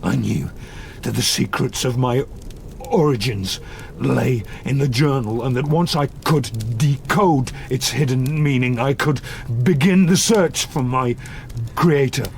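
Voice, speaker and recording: A man's voice: mid-range voice, gravelly, slight background noise. An actor playing a wretched, vengeful man-made monster in a gothic audio drama, grave and anguished in his telling. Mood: brooding, vengeful. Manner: slow, grave, anguished storytelling.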